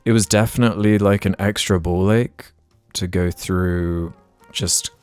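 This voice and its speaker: A man's voice: sits low, steady tone, measured pace, darkish tone, smooth, no room sound, faint music behind. A young man co-hosting a podcast, rambling casually with lots of filler words and the odd swear. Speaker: podcast co-host.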